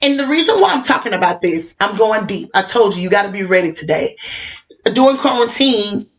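A woman's voice: medium pitch, very animated, fairly quick. A woman co-hosting a casual talk show, chatty and laughing often, calling people honey. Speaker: podcast co-host. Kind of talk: casual chat. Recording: music playing underneath. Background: music.

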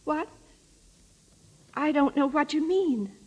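An actress playing a lonely, attention-hungry old former actress in a radio drama, chatty, folksy and coyly evasive. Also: female voice, high-pitched, animated, slow pace, darkish tone.

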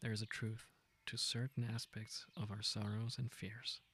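A man leading a guided meditation, speaking gently and informally in an unhurried, intimate way. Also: lowish voice, little pitch movement, a little hiss or hum. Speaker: meditation guide, podcast host.

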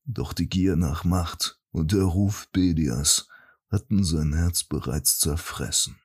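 Pompous fantasy high priest played by a man, very low, raspy, grave and unhurried. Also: heavily acted. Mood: grave indignation. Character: solemn, righteous archmage priest reading his letter aloud. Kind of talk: fantasy audiobook, scripted dramatic monologue.

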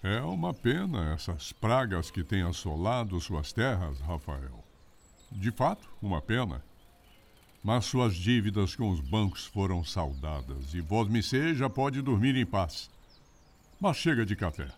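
An actor playing a boastful, greedy fifty-year-old landowner with a Brazilian accent and smug, formal old-fashioned speech in a radio drama. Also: male voice, fairly low pitch, very animated, measured pace, heavily acted. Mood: businesslike, smug. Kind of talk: radio soap opera acting.

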